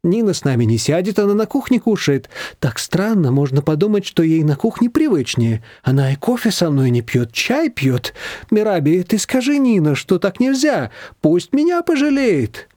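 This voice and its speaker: A man's voice: sits high, big pitch swings, normal speed, mellow, no room sound, clean studio sound, pitched above the performer's own voice. Fussy old mother-in-law, as a man puts her on. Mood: fussing. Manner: plaintive, scolding, theatrical.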